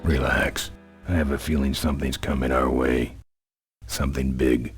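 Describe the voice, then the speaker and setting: Super low biker gang leader in a game, deadpan and laconic, loud music under him. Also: male voice, moderate pace. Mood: calm, assured. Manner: terse, deadpan. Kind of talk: video game voice acting.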